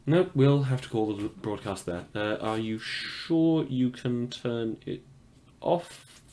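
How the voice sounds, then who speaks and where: A man's voice: medium pitch, slow, mellow, a little hiss or hum, natural voice. A man playing a wry, awkward, self-deprecating small-time radio news host in a fiction podcast set after the apocalypse. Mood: flustered. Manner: rambling, self-deprecating, dryly sardonic.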